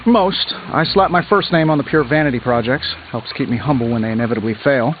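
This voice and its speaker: An actor playing a cocky billionaire inventor superhero in a video game, glib and sarcastic with playful quips. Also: male voice, medium pitch, fairly quick, phone-quality sound, slight background noise, close to an everyday voice. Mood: breezy. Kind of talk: video game character dialogue, quippy banter. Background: music.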